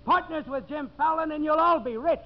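An actor playing a slick, fast-talking promoter and boss with a commanding salesman's patter, in 1950s radio drama style. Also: very high voice. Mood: rousing, pitching. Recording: dull, hissy 1950s radio broadcast recording.

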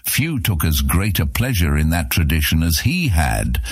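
Male audiobook narrator for scripted fantasy. Bottom-register pitch, some rasp, no room sound. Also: sing-song, moderate pace, no echo, clean studio sound.

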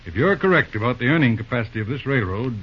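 Husky, shrewd old-time radio uncle. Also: male voice, mid-range voice, animated. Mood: brisk, decisive. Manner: probing, measured questions with hums. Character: shrewd, brisk older businessman uncle, exacting but encouraging. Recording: dull, lo-fi old radio recording.

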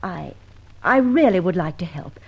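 A woman's voice: on the low side, lively intonation, normal speed, vocal fry, husky, muffled recording, heavily acted. A woman playing a kind, eager, impulsive young lady, earnest and insistent, in a radio drama. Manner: earnest, insistent, well-spoken. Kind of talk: old-time radio drama acting.

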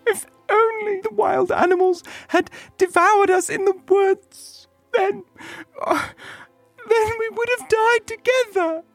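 An innocent little storybook girl, played by a man, with a posh English accent and a pleading manner. The voice is extremely high and slow-paced, recorded dry. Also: sing-song, a bit bright, dry recording, soft background music, full character voice. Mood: tearful pleading.

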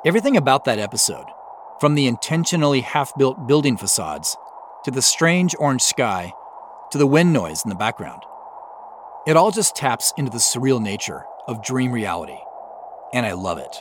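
A man narrates a director's commentary as the creator of an audio drama. His mid-pitched voice moves with lively inflection. He explains his choices in a reflective way. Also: moderate pace, a little hiss or hum.

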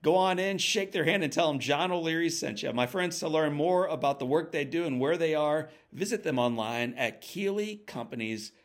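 A man's voice: fairly high pitch, moderate pace, hardly any pauses. A man hosting an inspirational podcast, speaking in an earnest, emphatic, motivational way. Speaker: podcast host.

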